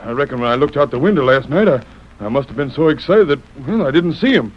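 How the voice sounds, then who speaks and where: Actor as a shifty frontier townsman, highly animated. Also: fast talker, lightly acted. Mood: nervous, evasive. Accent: American. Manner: rustic phrasing, defensive, agitated. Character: shifty, nervous frontier townsman protesting his innocence. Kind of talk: 1930s radio western acting. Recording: scratchy muffled 1930s radio broadcast.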